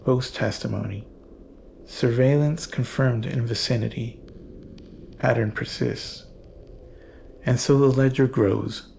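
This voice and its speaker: A man hosting a horror story series, narrating a script in a theatrical, ominous, deliberate manner as a sinister keeper of shadows. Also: mid-range voice, steady tone, vocal fry, darkish tone, lo-fi sound, a little hiss or hum. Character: sinister keeper of shadows addressing his followers.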